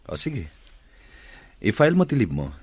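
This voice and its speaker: A man's voice: medium pitch, wildly swooping pitch, normal speed, darkish tone, husky, dry recording. A man playing an agreeable office boss in a radio drama, with an Ilocano accent.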